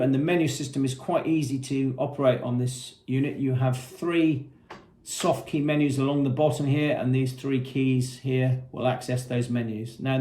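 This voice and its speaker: A man with a mid-pitched voice gives an unscripted product walkthrough. He explains things methodically and plainly, point by point. The sound is roomy, with clear echo around his voice. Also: a little mellow. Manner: matter-of-fact, methodical, explanatory. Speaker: product presenter.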